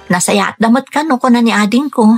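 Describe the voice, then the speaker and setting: A husky young Ilocano daughter, earnest. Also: female voice, fairly high pitch, a little fast. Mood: wistful, earnest. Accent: Filipino, Ilocano. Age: young adult. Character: young Filipina overseas worker, dutiful burdened daughter. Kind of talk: Ilocano radio drama acting. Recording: music bed under the voice. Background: music.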